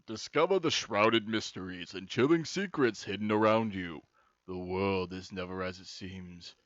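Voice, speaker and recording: Man putting on a slow, portentous announcer, dull mic. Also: deep voice, fairly bright tone, dry recording. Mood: ominous, mysterious. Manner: slow, dramatic, portentous delivery. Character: ominous announcer reading an occult club pamphlet.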